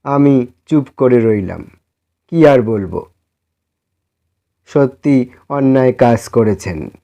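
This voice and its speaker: Mellow, unhurried male storyteller. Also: mid-range voice, animated. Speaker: story narrator. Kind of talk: scripted first-person literary narration.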